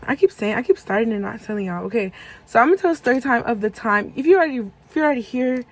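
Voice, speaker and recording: A woman's voice: fairly high pitch, lively intonation, quick pace, poor audio. A woman in her twenties telling a personal story on her vlog in a rambling, casual way, full of fillers and self-corrections. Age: 20s. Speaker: vlogger.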